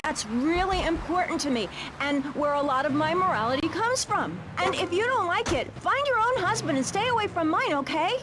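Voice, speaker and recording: A prissy, sanctimonious suburban mom with a high-pitched voice. She moralizes sweetly and smugly on a satirical radio panel, with clear traffic noise behind her. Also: lively intonation, normal speed, hardly any pauses. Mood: snappish. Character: prim, sanctimonious, possessive suburban mother. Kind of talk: satirical radio talk show acting.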